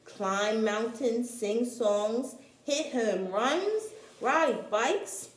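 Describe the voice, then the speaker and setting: Slow, animated woman reading a storybook. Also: a little high, roomy sound, poor audio. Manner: animated, deliberate storytelling for young children. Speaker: storytime reader, teacher-like. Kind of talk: expressive read-aloud of a children's picture book.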